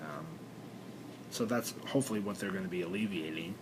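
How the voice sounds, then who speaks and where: Young man, low voice, congested nasal sound, halting with fillers, noisy rumbling recording. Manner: halting, lots of fillers and restarts. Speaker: vlogger. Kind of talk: personal video diary.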